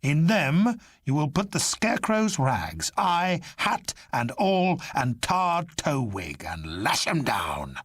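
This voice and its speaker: A man voicing a stern vicar who turns into a commanding pirate captain, speaking slowly and firmly. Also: on the high side, very animated, fairly bright tone, breathy edge, no echo, heavily acted.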